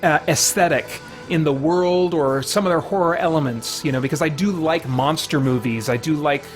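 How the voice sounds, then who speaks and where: Higher-voiced man streaming a game, music behind. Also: normal speed. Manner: rambling, breaks off mid-thought to react to game and chat. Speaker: video game livestream host. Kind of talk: casual livestream gameplay commentary.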